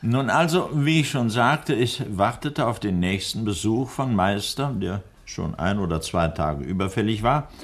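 A composed, middle-aged doctor in a radio crime play. His voice sits fairly low, and he speaks deliberately and firmly, with shrewd authority. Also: lively intonation. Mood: measured narrating. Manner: measured, firm, deliberate. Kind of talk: 1960s German radio crime drama acting.

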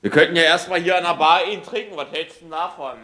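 An actor playing an easygoing, colloquial slacker bandmate in a conversational radio play, talking a little hesitantly and encouragingly. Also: male voice, on the high side, quick pace, bright, forceful tone. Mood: laid-back.

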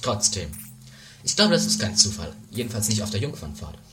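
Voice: sits low, sing-song, a little fast. A performer playing a flustered, officious man in an improvised horror-comedy radio play, theatrical and stammering. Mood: uneasy. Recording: very noisy lo-fi home tape.

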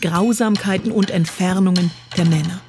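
A woman's voice: fairly low pitch, steady tone, moderate pace, mellow, slight background noise. A woman giving a literary recitation of poetic prose, as a spoken-word performer. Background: music.

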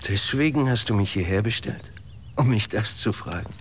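An actor playing a cool, slightly superior man in a radio drama, with measured, precise, pointed speech. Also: fairly low pitch, animated, slight background noise, natural voice. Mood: cool, reserved. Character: cool, clever, slightly superior man confronting an old acquaintance. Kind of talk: naturalistic radio drama acting.

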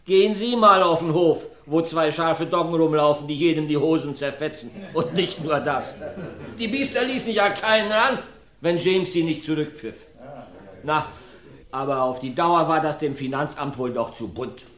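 A man's voice: fairly high pitch, normal speed, fairly bright tone, lightly acted. An actor playing a talkative rustic farmer who rambles through his testimony in a radio play. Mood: folksy. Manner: folksy, rambling storyteller. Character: rustic male farmer, chatty court witness. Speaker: witness in a courtroom. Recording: roomy studio.

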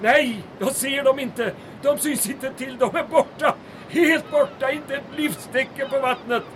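A man's voice: very high voice, crowd noise. An actor playing an alarmed, horrified lookout in an audio drama, urgently reporting what he sees. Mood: despairing. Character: alarmed, horrified lookout watching through binoculars. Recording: outdoor scene with faint noise.

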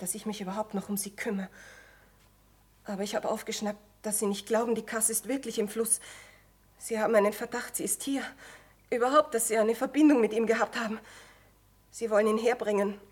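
A woman's voice: medium pitch, a little mellow, husky, lightly acted. An actress playing an anxious, blunt female go-between who brings bad news in terse, clipped phrases, in stage drama style.

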